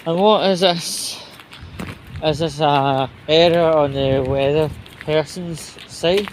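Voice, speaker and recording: A man giving a casual, halting and blunt walk-and-talk monologue as a vlogger, grumbling with mild swearing. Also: on the high side. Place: outdoors, walking at night. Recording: clear outdoor ambience and vehicle noise.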